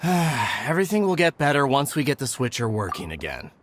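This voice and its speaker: A man voicing a harried, earnest but testy caretaker in an animated video game. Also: mid-range voice, brisk, soft background music. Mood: irritated.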